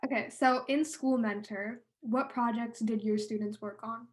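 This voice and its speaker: A woman's voice: fairly high pitch, steady tone, a little slow. A young woman working as an intern, politely reading prepared interview questions.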